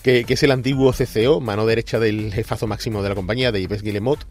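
A man's voice: fairly low pitch, fairly even intonation, fast talker, darkish tone, faint music behind. A man co-hosting a gaming news show, chatting casually with hesitations and asides. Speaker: radio co-host.

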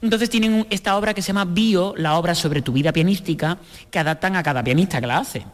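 A man's voice: high voice, fast, big-room sound. A man, a pianist, explaining his new work in a friendly way in a theatre. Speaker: pianist interviewee. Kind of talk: interview talk.

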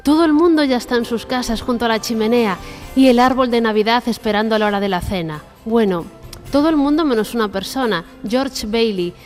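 A woman's voice: fairly high pitch, brisk, a little mellow. A woman from reading scripted storytelling narration as the narrator of a radio play. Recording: music under the voice.

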